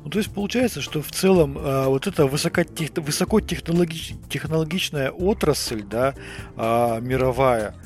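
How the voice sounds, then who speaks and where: A man's voice: on the high side, normal speed, darkish tone, faint music behind. A man hosting a casual podcast discussion, often repeating himself as he searches for words. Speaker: podcast host.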